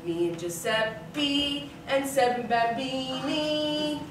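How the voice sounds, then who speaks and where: A weary Italian mamma, complaining comically in broken English. Her high voice drawls very slowly in a sing-song accent, distant in an echoey theater. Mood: comic complaining. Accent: Italian, put on. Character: weary, comic Italian immigrant wife and mother of many. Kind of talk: broad comic stage acting. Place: small theater, live staged reading. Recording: echoey theater, distant mic, faint noise.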